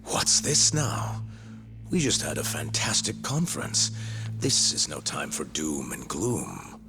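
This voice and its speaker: Pompous, gravelly, volatile director. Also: male voice, very deep voice, wildly swooping pitch, slow, over music, full character voice. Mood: smug, soothing. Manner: emphatic, theatrical. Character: pompous, volatile male medical director, self-important. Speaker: research center director. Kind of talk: dramatic video game cutscene acting.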